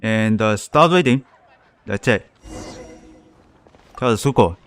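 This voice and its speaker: Clear-voiced man streaming a game. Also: fairly low pitch, animated, takes it slowly. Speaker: live streamer host. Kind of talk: casual game let's play commentary.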